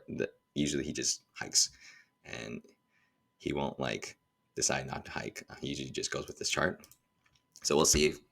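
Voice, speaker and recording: A man giving a casual market update as a trader, talking informally and thinking aloud in a halting way as he goes. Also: a bass voice, creaky, a bit bright. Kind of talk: casual screen-share market commentary.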